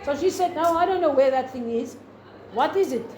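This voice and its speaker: A woman's voice: high voice, expressive, a little fast, darkish tone, some chatter behind. A South African woman, a native Afrikaans speaker, teaching a class in an informal, rambling way with many restarts. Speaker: lecturer. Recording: echoey room with faint noise.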